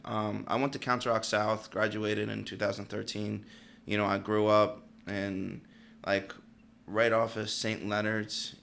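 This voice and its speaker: Brief: gravelly young barber from Pennsylvania, low and flat, easygoing storyteller. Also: male voice. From Pennsylvania, United States. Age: late 20s. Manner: laid-back storyteller, leans on "like" and "you know". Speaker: barber and podcast guest. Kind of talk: casual interview chat.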